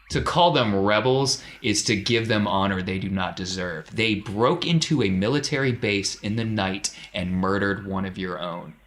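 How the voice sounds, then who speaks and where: A man playing a stern young Imperial captain who delivers a solemn, deliberate propaganda address in a tabletop game. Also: lowish voice, fairly bright tone.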